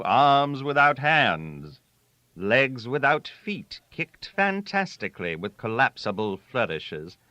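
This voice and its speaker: A man narrating a literary story aloud in a theatrical, deliberate way, with dramatic pauses. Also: mid-range voice, sharp tone, no room sound. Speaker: audiobook reader.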